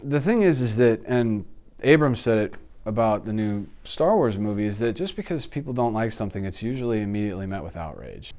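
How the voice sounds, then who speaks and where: A man in his thirties chatting casually as an interview guest, telling stories in an animated way and acting out reactions. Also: lowish voice, brisk. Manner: animated storytelling, acts out reactions and sound effects. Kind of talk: casual chat about films and games. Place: indoor office studio.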